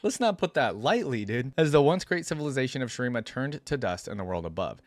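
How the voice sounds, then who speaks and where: A man reading stories aloud and reacting casually as a video host, expressive and informal. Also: medium pitch, fast talker, no echo. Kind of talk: reading lore aloud with casual off-the-cuff commentary.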